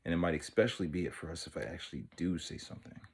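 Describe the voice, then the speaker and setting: A very deep-voiced man as an earnest lovestruck boy. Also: lively intonation, rapid-fire, gravelly, slightly rough, full character voice. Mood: anxious, wistful. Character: earnest, lovestruck thirteen-year-old boy confessing wistfully. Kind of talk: dramatic monologue, intimate storytelling.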